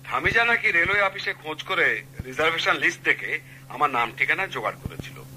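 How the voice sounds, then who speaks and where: Courteous phone caller, bright sharp tone, animated lift, faint hum behind. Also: male voice, a little high, a little fast, low-quality recording. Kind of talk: 1970s radio drama acting.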